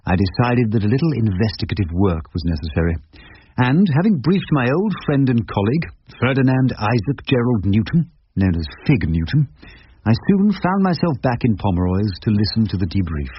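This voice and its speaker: An old English barrister with a low, lively voice. He is wry and wordy, a droll storyteller in a radio play. The recording is dull and lo-fi. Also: brisk, breathy edge. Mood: wry narration. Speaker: narrator and lead character, a barrister. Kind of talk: radio drama narration and dialogue.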